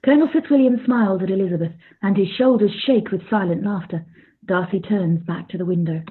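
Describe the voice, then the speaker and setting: A woman's voice: medium pitch, a little fast, breathy edge, natural voice. A woman narrating a scripted period radio play as a neutral storyteller, on a tinny recording.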